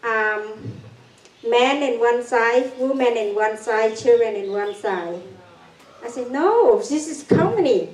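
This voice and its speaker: An older woman from Cambodia with a Cambodian accent, giving a personal testimony talk in halting, broken English with nervous laughs. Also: fairly high pitch, animated, darkish tone. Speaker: guest speaker. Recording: echoey room, far from mic.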